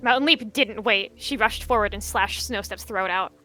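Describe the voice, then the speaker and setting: A woman narrating a dramatic roleplay story in an expressive, measured storytelling manner. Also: medium pitch, music in the background.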